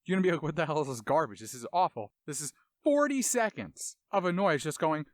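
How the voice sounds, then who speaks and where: A man's voice: on the high side, big pitch swings, brisk, dry recording, clean studio sound. A man hosting a video essay with casual analytical commentary, dramatic emphasis and colloquial asides.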